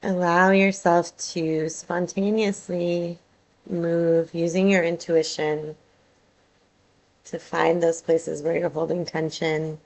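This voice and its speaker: A woman teaching yoga nidra, guiding a meditation in a calm, soothing way with measured phrases and pauses. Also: on the low side, creaky, poor audio. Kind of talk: guided meditation, soothing instruction.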